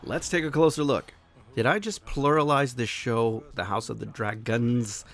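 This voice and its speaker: A man hosting his own review show, talking casually and excitedly while a little hoarse from losing his voice. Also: mid-range voice, normal speed. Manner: enthusiastic, animated, swears casually.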